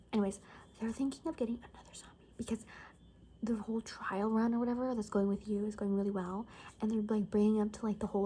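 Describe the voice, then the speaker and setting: A woman playing a chatty, gossipy friend in an apocalypse ASMR roleplay, soft-spoken and breathy, often slipping into whispers, with casual rambling speech. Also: mid-range voice, lightly acted. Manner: rambling, casual, gossipy, full of filler words.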